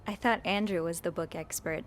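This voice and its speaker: A woman's voice: fairly low pitch, normal speed, a little hiss or hum, close to an everyday voice. A woman as an earnest, curious young maid. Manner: earnest, hesitant, a little naive. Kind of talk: video game voice acting.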